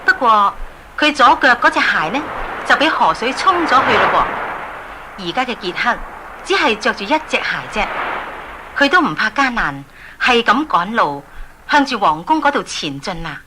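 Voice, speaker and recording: A woman with a Hong Kong accent narrating a Cantonese children's fairy tale in an expressive storytelling manner. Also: fairly high pitch, moderate pace, noisy background. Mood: animated.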